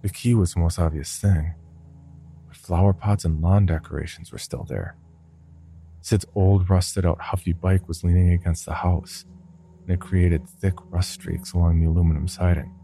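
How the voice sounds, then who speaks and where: Deep, dark-voiced man narrating horror. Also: fairly even intonation, normal speed, gravelly, a little hiss or hum. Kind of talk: scripted first-person horror story narration.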